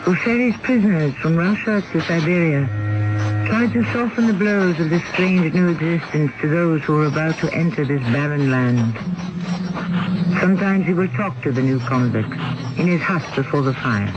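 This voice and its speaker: A man hosting and narrating a radio drama in a solemn, literary style with deliberate, dramatic phrasing. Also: on the high side. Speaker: host and narrator. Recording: muffled old radio, music behind.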